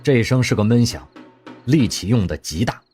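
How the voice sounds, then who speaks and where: A man narrating an audio drama with expressive storytelling. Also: medium pitch, brisk. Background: faint music.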